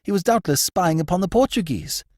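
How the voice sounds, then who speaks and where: A man's voice: high-pitched, expressive, brisk, dry recording, clean studio sound, voice pushed up. A man voicing a piercing, imperious veiled lady. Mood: intense. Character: veiled, agitated noblewoman, commanding. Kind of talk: audiobook character voice.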